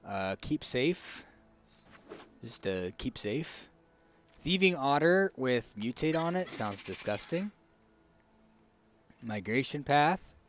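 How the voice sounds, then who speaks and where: A man with a raspy, hoarse voice casually commenting on a card game as he picks cards, thinking aloud with fillers and restarts. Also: mid-range voice, big pitch swings, slow pace, long pauses. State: hoarse, tickly throat. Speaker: streamer.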